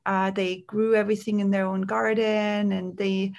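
A woman guest recounts her path at an easy pace, pausing on fillers and restarting phrases. Her voice carries a gravelly fry, set at a middle pitch for a woman. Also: level tone, darkish tone. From Austria. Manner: unhurried, halting, with frequent fillers and restarts. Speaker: makeup artist guest. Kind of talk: conversational storytelling.

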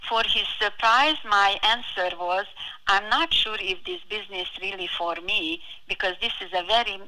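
A middle-aged woman with a heavy foreign accent, answering as a podcast guest in an earnest, deliberate, prepared-sounding way. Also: mid-range voice, bright, forceful tone, slight background noise, low-quality recording. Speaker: financial advisor, podcast guest.

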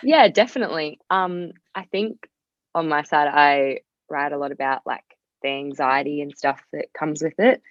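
A woman's voice: deep voice, moderate pace, dry recording, highs cut off. A young Australian woman speaking as a podcast guest in a casual, candid way, rambling and often saying "like". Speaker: podcast guest, singer-songwriter. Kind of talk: casual conversational interview.

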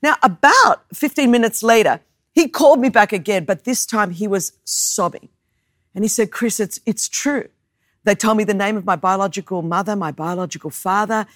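Animated woman preaching with dry sound. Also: medium pitch, normal speed, dry recording. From Australia. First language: Greek. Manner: animated storyteller, self-mocking humor, emphatic repetition. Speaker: guest preacher. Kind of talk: sermon with personal story.

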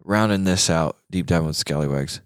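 A man's voice: deep voice, moderate pace, clear, resonant tone, no echo, clean studio sound. A man hosting a casual guitar talk show, chatting in an informal, emphatic, slangy way. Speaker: show host.

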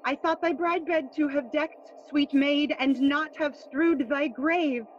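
A woman's voice: high-pitched, takes it slowly, slight background noise. A woman playing a sorrowful, pleading queen and mother in a bilingual Shakespeare audio drama, tender, mixing Spanish and English. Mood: tender mourning. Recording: slightly dull sound with faint music. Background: faint music.

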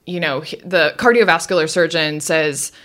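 A woman co-hosting a show, recapping and commenting casually, with wry, animated retelling and questioning asides. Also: low voice, moderate pace. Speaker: podcast co-host.

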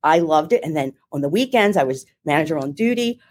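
A middle-aged woman with a Philadelphia accent, telling her career story in an interview in a chatty, animated way with many restarts. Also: low-pitched, brisk. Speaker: marketing executive, guest. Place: home or office in Ohio, remote call.

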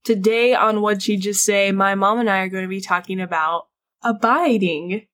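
A woman's voice: medium pitch, fairly even intonation, moderate pace, fairly bright tone. A young woman hosting a podcast with her mother, sounding a little stuffy from a head cold and talking in a chatty, playful way. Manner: chatty, playful, laughs often. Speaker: podcast host. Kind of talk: casual podcast chat.

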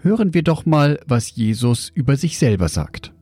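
A man giving a scripted devotional in a measured, reflective way, speaking directly to the listener. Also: medium pitch, very animated, mellow, husky, dry recording, faint music behind.

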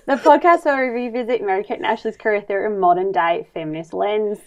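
A woman's voice: medium pitch, fast talker, highs cut off. An American woman in her thirties, chatting casually and playfully as a podcast co-host, often saying "like". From New Jersey, United States. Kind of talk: casual comedic podcast chat.

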